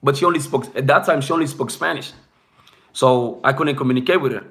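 Young man, non-native English speaker, bright forceful voice, loose rambling live-stream chat, small indoor room, close device mic. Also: mid-range voice, fairly quick. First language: not English. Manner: loose, rambling, peppered with "bro" and "you know what I'm saying".

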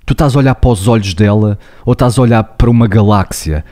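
A man's voice: on the low side, fast talker, mellow. Earnest Portuguese man explaining. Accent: European Portuguese. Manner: earnest, explanatory, addresses listeners directly. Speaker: podcast co-host, psychologist. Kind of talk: casual podcast chat.

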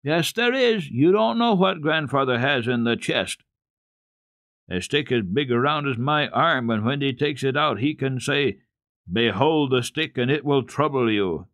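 Man acting little girl, storybook, earnest, kindly, coaxing, measured sing-song delivery. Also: medium pitch, lively intonation, fairly bright tone, no echo, pitched above the performer's own voice.

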